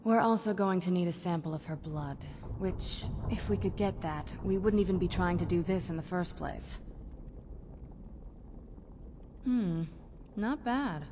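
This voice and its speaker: A woman voicing a shrewd, composed young female vampire necromancer in a video game, speaking in a measured, dry, musing way. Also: lowish voice, background noise, close to an everyday voice. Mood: dry, resolute. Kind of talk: video game character dialogue.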